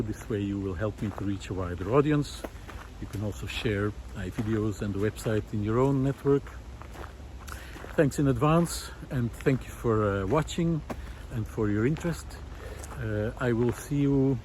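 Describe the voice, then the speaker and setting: A man speaking as a vlogger in a reflective, unscripted monologue outdoors, with earnest, halting, hesitant phrasing. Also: on the low side, a little mellow, low-quality recording. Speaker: vlogger, former visual artist. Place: outdoors in a park. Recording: outdoor ambience with clear traffic noise.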